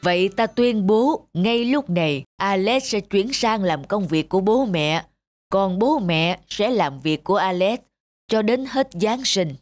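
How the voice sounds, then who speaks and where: A woman's voice: medium pitch, expressive, unhurried, close to an everyday voice. Woman voicing a stern, kindly old Santa Claus. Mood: solemn. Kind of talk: dubbed cartoon storytelling. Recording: music under the voice. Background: background music.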